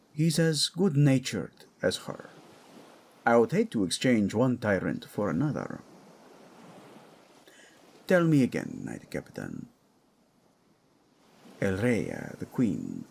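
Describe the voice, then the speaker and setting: Audio drama: male actor as devoted military leader and husband, grave, commanding, gravelly delivery. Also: mid-range voice, a little hiss or hum, heavily acted. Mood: businesslike. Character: devoted, commanding husband leading soldiers to rescue his wife.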